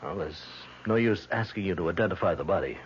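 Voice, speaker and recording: An actor plays a low-voiced detective sergeant in a vintage radio mystery. He is tactful, official and measured, on a muffled broadcast recording. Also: slight background noise, lightly acted. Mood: tactful, somber. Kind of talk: 1970s radio drama acting.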